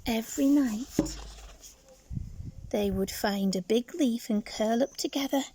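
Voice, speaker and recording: A woman reads a children's story at an unhurried pace in a soft-edged, mellow voice. She pauses for drama and speaks aside to her young listeners. Some noise and clicking sit behind her. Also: fairly high pitch. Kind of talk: expressive picture-book read-aloud for young children.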